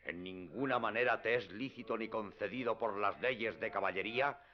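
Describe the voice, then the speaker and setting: An actor playing a grandiose, self-assured knight-errant in a radio drama, with pompous, declamatory and archaic formal speech. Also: male voice, lowish voice, normal speed, lightly acted. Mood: solemn, instructive. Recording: dull, muffled radio recording.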